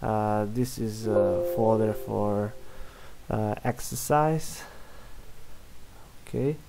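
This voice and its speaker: A young man from Serbia with a Serbian accent, a software developer walking through a screen-recorded demo in halting English full of fillers. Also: on the low side, gravelly, low-quality recording. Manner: halting, many fillers and restarts.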